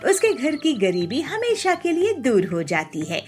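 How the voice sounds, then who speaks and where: Calm woman narrating a kids' cartoon. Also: sits high, measured pace, soft background music. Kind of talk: storybook narration for children.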